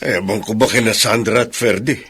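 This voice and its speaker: A man with a Filipino accent and a husky, gravelly voice playing a fair-minded, concerned family confidant in a radio drama. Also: lowish voice, steady tone, moderate pace, fairly bright tone.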